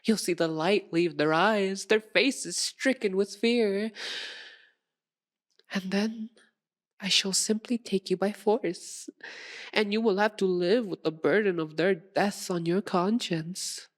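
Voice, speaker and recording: A woman playing a possessive, sadistic female vampire in a theatrical ASMR roleplay, teasing and seductive, with a purring drawl that slips into breathy whispers. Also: medium pitch, slow pace, close to an everyday voice. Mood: cold threat.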